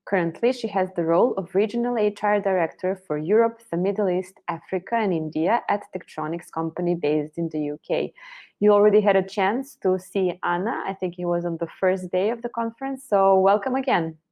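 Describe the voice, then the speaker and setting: Conference host, woman, formal read-out intro, mid-pitched, video call audio. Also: fairly quick, warm tone. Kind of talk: introducing a speaker.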